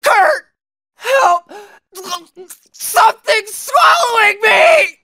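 A man's voice: very high-pitched, expressive, extremely slow, sharp tone, raised voice, heavily acted. A man playing an arrogant, cruel young knight in a video game, mocking and theatrical. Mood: panicked. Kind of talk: video game villain acting.

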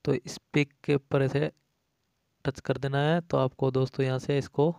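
A man's voice: mid-range voice, little pitch movement, moderate pace, gravelly, a little mellow, clear, resonant tone, no room sound, clean studio sound. A man giving a casual, repetitive step-by-step app tutorial as a voice-over, addressing viewers as friends. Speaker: tech tutorial YouTube host.

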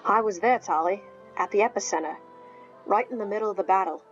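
An actress playing a serious, caring teenage team captain in a visual novel game, earnest and reassuring. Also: female voice, mid-range voice, fairly quick, lo-fi sound, faint music behind, lightly acted. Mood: somber.

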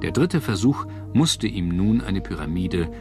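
Deep-voiced male documentary narrator over music. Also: animated, unhurried. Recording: music bed under narration. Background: background music.

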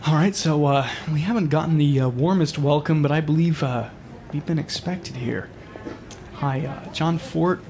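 A man's voice: a little high, normal speed, noisy background, natural voice. A man playing an earnest, easily flustered field journalist in a comedic audio drama. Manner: stammering when addressing people. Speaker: reporter. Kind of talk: comedic audio drama, mock news report.

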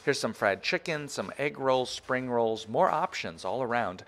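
A man's voice: mid-range voice, expressive, measured pace, fairly bright tone, a little hiss or hum. An enthusiastic, chatty man in his thirties or forties, giving a casual guided food review as a vlogger.

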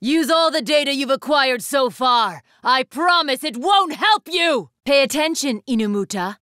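Imperious female anime game warrior, bright sharp tone, dry studio sound, ruthless, formal, declamatory. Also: high-pitched, expressive, measured pace, dry recording, heavily acted. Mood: cold, haughty. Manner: formal, haughty, declamatory delivery. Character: imperious, ruthless young woman warrior, commanding and disdainful. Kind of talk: anime fighting game voice lines.

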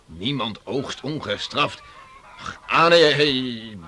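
A man acting a gruff, hot-tempered beachcomber farmer who blusters and curses, in a 1960s radio play. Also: medium pitch, expressive, drawn-out words, bright, forceful tone, full character voice. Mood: indignant. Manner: blustering, cursing.

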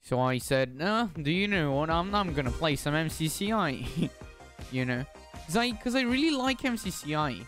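A man's voice: a little high, sing-song, normal speed, fairly bright tone, faint music behind. A young man from Bulgaria, chatting casually as a streamer while playing, often repeating words and asking himself confused questions. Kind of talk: casual gameplay commentary.

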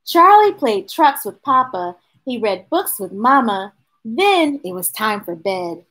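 A middle-aged woman reading a picture book aloud to children, expressive, with chatty asides to her young listeners. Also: a little high. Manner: expressive, drawn-out delivery addressing young listeners. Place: small indoor room with bookshelves.

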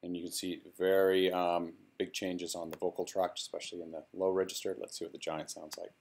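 A man presenting a casual software walkthrough, speaking in a hesitant, halting way. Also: low-pitched, flat delivery. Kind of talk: casual screen-recorded walkthrough.

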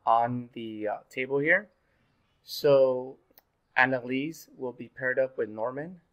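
A man in his thirties, a little sick and hoarse, giving a pre-recorded online class as instructor, relaxed and full of fillers. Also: medium pitch, muffled recording. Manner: relaxed, filler-heavy, repeats "go ahead". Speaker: university instructor. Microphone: webcam in corner of screen recording.

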